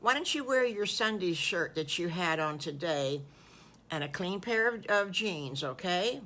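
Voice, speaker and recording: A woman's voice: on the low side, animated, measured pace, sharp tone. An older woman voicing a kindly, nervous farm wife in a storybook tale, gushing and fluttery.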